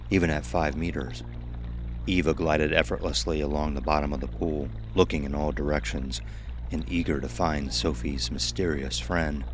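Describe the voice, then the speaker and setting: Very deep-voiced man narrating horror. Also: slight background noise. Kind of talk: scripted horror story narration. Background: music.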